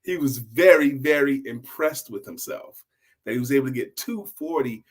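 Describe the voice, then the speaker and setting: A man's voice: medium pitch, normal speed. Middle-aged man telling a story, conversational. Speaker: storyteller and sales coach. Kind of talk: casual storytelling to an audience.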